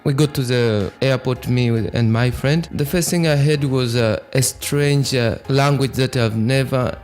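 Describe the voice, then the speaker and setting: A man's voice: medium pitch, a little mellow. A young man from Tanzania, a Swahili speaker hosting a podcast and earnestly reflecting on his own experience. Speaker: podcast host. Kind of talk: personal monologue.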